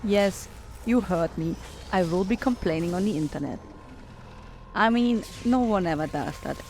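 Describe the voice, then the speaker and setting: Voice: medium pitch, lively intonation, moderate pace, darkish tone, soft background music. A game video commentator speaking casually, with wry, self-mocking remarks.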